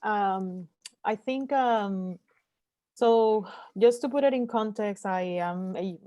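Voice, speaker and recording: A woman from Puerto Rico whose first language is Spanish, speaking earnestly as a panelist in an online discussion, often pausing with fillers and restarting sentences. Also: medium pitch, dark tone, no room sound. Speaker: panelist, scientist.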